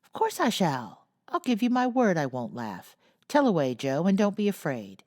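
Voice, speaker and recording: Polite eager teen boy, courteous and playful, in a lively storybook voice, read by a woman. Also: fairly low pitch, moderate pace, no echo, studio quality, pitched above the performer's own voice. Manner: courteous, playful, a little meek. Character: young well-mannered teenage boy, eager and polite.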